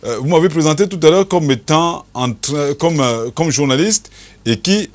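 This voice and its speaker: A man's voice: on the high side, lively intonation, moderate pace. Hesitant man in his forties. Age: 40s. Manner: hesitant, many fillers and restarts. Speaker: journalist and panel guest. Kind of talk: televised panel debate.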